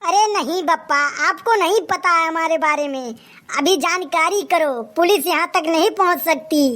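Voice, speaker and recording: A man's voice: very high-pitched, moderate pace, bright, forceful tone. A man voicing a cheeky, whining, boastful village boy in a comic cartoon, with an Awadhi accent. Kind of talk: comic rustic cartoon dialogue.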